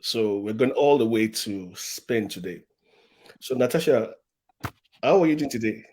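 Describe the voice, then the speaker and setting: A man's voice: on the low side, animated, measured pace. Man hosting a live-stream interview. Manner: warm-up chatter, repeats words, reads audience comments. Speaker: host.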